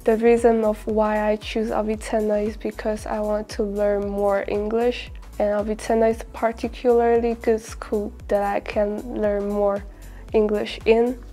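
A woman's voice: mid-range voice, little pitch movement, a little slow, warm tone, faint music behind. A teenage girl from China giving a careful, prepared self-introduction as a student, recorded on a lapel mic on a studio set. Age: teens.

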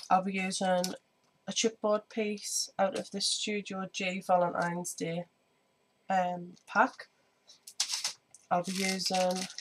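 A woman talks through her craft project in a relaxed, rambling way, filling pauses with ums. Her voice is slightly croaky, lowish and fairly monotone. A faint background hiss sits under the recording. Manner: chatty, many ums, hands busy while talking. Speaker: scrapbooking vlogger. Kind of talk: casual crafting tutorial. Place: indoor home craft table. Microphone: overhead camera on desk, speaker off screen.

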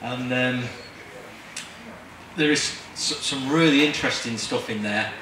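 A middle-aged man giving an informal, halting introduction to an audience. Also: mid-range voice. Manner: hesitant, halting. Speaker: event presenter introducing a reader. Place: large marquee tent with seated audience. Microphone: handheld mic through speakers, filmed from afar. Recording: echoey PA, distant, crowd noise.

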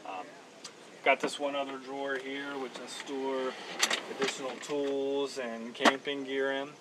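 A man's voice: mid-range voice, unhurried. Guy in his 30s walking thru his truck setup, laid back, lots of ums, a bit far from the mic, outdoor noise behind. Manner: relaxed, plain-spoken, frequent "um"s. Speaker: vehicle owner giving a walkaround. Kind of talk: casual show-and-tell walkaround. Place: outdoors at a campground event, by a truck, then inside the cab.

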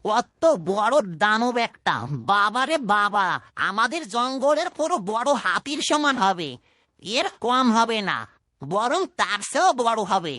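A woman's voice: fairly high pitch, expressive, measured pace, pushed and bright, no room sound, clean studio sound. A woman voicing a cowardly, sly, skinny underling in a fairytale cartoon, whining and fearful. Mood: grumbling fear.

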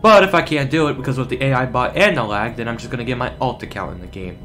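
A man's voice: medium pitch, fast, a bit bright. Young male YouTuber, mid-range voice, informal and exclamatory gaming commentary over faint music. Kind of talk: casual game reaction commentary.

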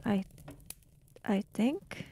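A woman's voice: medium pitch, lively intonation, drawn-out words, creaky, dark tone, a little hiss or hum. A woman playing a tabletop role-playing game, speaking in character in a hesitant, subdued way.

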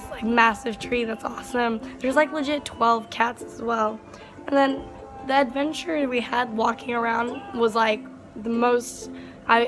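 A young woman with a raspy, strained voice, chatting casually in a travel vlog, rambling with lots of fillers, filmed on a phone at arm's length. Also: on the high side. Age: young adult. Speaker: vlogger. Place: hotel room and outdoor terrace on an island. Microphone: phone camera at arm's length. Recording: ukulele music underneath.

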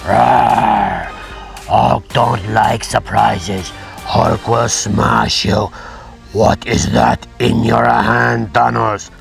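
A hulking, short-tempered brute roars and speaks in clipped broken grammar, as a man puts him on. The voice is deep and gravelly, and the words come very slowly. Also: steady tone, soft background music, exaggerated voice. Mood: angry. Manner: broken grammar, gruff roaring. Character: huge angry simple-minded male brute. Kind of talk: toy play voice acting.

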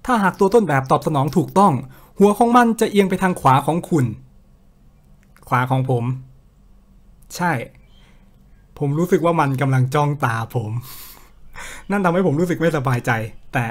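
A man's voice: a little high, animated, moderate pace, long pauses. A young man from Thailand hosting a game video, joking casually and reading prompts aloud. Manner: joking, talks to the game and viewers. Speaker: gaming video host.

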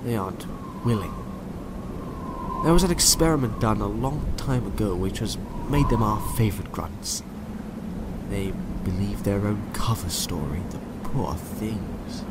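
An actor playing a controlling, condescending agent of a dystopian ruler in an audio drama, speaking gravely and deliberately. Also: male voice, lowish voice, lively intonation, heavy background noise.

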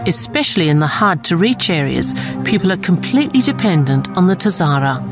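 A woman reading scripted documentary narration in a measured, articulate way. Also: fairly low pitch, big pitch swings. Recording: studio voice-over with music.

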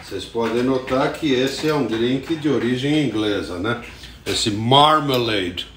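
An older man hosts a live cooking show from his home kitchen, talking slowly and warmly greeting viewers by name. His voice bounces around a hard, echoey kitchen, picked up by a phone set some way off. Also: medium pitch, far from the mic, background noise. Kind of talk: casual live cooking demonstration. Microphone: phone camera at a distance. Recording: roomy kitchen echo from afar.

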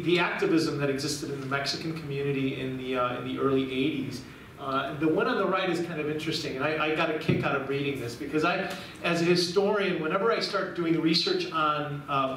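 A man's voice: on the high side, recorded from a distance, a little hiss or hum. Middle-aged man lecturing in an echoey hall. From Cuba. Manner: warm, rambling with frequent restarts. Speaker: guest lecturer. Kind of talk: public lecture. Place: large room with projection screen, indoors. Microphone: far camera. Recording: very echoey room, recorded from afar.

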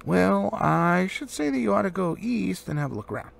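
A man's voice: high voice, lively intonation, moderate pace, vocal fry, voice pushed up, full character voice. A man voicing a flustered, polite little male hobbit from a storybook, hesitant yet eager and rather prim. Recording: faint background noise.

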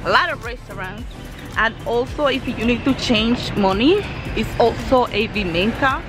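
A woman's voice: fairly high pitch, moderate pace. A woman, chatting and correcting herself as she films a walking tour on a busy street, phone held at arm's length. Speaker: travel vlogger. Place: busy street outdoors beside traffic. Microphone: phone camera at arm's length. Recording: loud traffic noise and music behind. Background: passing cars and music.

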